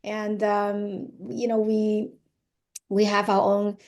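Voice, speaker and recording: A woman in her thirties with a Chinese accent, speaking as a podcast guest in an easygoing, earnest way. Her voice is mellow and warm, and her pitch sits in an ordinary middle range. She often fills pauses with small hesitations and restarts. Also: completely flat delivery. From China. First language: Zhuang dialect or Mandarin. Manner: earnest, with many fillers and restarts; laughs easily. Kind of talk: casual interview chat.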